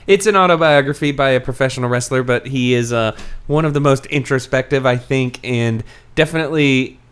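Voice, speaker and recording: Casual man hosting a podcast, mid-pitched. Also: a little fast, fairly bright tone, clean tone. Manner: informal, casually profane. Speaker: podcast host.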